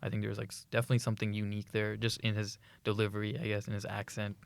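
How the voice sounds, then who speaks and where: A man's voice: on the low side, monotone, very fast, vocal fry, clean tone, no room sound. A young man co-hosting a student podcast, chatting eagerly about music with frequent restarts and laughs. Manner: enthusiastic, laughs often, many restarts. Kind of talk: casual chat about music.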